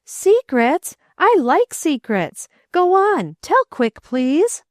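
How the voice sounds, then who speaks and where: An earnest young boy from a storybook, read by a woman, his voice lifted high and urgent. He pleads slowly and with feeling. The sound is close and dry, with no room around it. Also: lively intonation, no room sound. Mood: eager. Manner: eager, pleading, rising to outbursts. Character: earnest boy, grieving and indignant.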